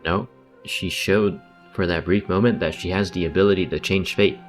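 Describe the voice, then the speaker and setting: A man's voice: low voice, steady tone, normal speed, faint music behind. A man giving slangy, mildly sarcastic live reactions as he streams a game.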